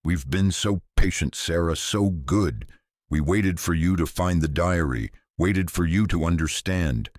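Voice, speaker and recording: A man plays a chorus of starved undead patients who speak as one. Their talk is sinister and coaxing, pitched up from a deep base voice. The recording is dry and close. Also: a little slow, no room sound. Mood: menacing. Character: hungry undead asylum patients, whispering as one.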